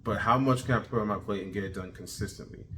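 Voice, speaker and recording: Thirty-year-old man, low voice, upbeat self-motivated vlogger, light background noise. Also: fast talker. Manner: energetic, repetitive, self-motivating. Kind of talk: casual self-improvement video journal.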